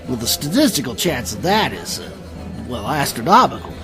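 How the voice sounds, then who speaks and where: A man voices a bookish church-relic scholar in a fantasy radio play. He is fussy and pedantic, stumbling over his words in an animated voice with music underneath. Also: mid-range voice, moderate pace, close to an everyday voice. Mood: astonished, hesitant. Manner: hesitant, pedantic, stammering. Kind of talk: audio drama acting.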